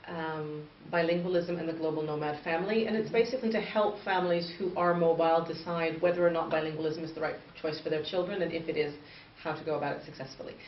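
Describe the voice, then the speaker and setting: A woman's voice: low-pitched, steady tone, fast. A woman in her thirties from northern Canada, a bilingual education expert answering interview questions in an earnest, explanatory way. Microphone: far from the mic. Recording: distant, echoey, muffled with faint noise.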